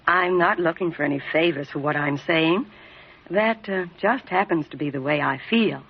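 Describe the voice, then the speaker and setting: Female, sultry radio temptress: husky, breathy, coy pauses, dull vintage broadcast sound. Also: sits low. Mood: sultry, coaxing. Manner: coy, breathy, lingering hesitations. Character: sultry, bold, flirtatious woman murder suspect. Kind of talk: 1940s radio detective drama acting. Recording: muffled old radio recording.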